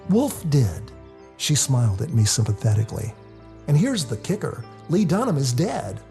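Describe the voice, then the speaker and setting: A man reading a novel aloud as a first-person dramatic narrator, in a confessional, expressive storytelling manner. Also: mid-range voice, normal speed, vocal fry. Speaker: author reading their own novel. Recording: music under the voice. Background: music.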